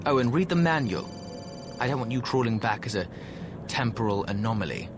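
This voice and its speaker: An actor playing a suave, decadent ancient undead Egyptian fixer in a video game, droll and languid with mocking theatrical asides. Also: male voice, medium pitch, animated, fairly quick, music in the background, natural voice. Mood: sly, businesslike. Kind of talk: video game cutscene acting.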